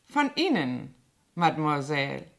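An actress playing a falsely naive cleaning woman in a radio drama, ingratiating and slyly blackmailing with quiet menace. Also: low voice, very animated, a little slow, gravelly, full character voice. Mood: coyly scheming. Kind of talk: 1970s German radio drama acting.

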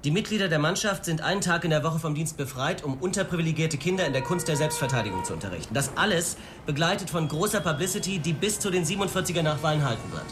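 A male actor playing a brisk, scheming senior police official with a pompous, persuasive delivery in a radio play. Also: on the high side, animated, background noise, close to an everyday voice. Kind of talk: German radio play dialogue.

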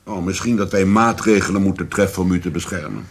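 An actor playing a courteous, methodical police commissioner in a Dutch radio play, asking questions politely and carefully. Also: male voice, low voice, quick pace. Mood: politely probing.

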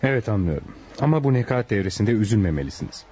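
An actor in a radio drama playing a calm, helpful male doctor, courteous and businesslike. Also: fairly low pitch, fast, slight background noise. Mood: reassuring. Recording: dull lo-fi radio recording, faint music.

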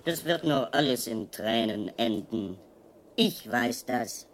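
An actress playing a patronising, schoolmistressy computer in a radio play, prim and easily offended. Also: female voice, extremely low pitch, expressive, takes it slowly, over music, heavily acted. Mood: wounded. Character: nannyish, scolding, easily offended female ship's computer.

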